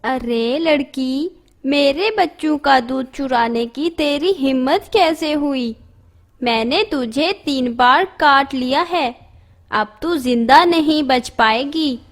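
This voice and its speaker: A woman voicing a stern magical female serpent who guards her hatchlings, speaking slowly in scolding, solemn pronouncements. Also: high voice.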